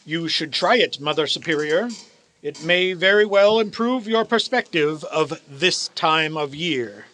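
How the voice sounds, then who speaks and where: Man playing a wealthy old miser, radio play, high sharp voice, cold, scornful, formal diction. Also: lively intonation, unhurried, slight background noise. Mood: dismissive. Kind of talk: stage radio drama acting.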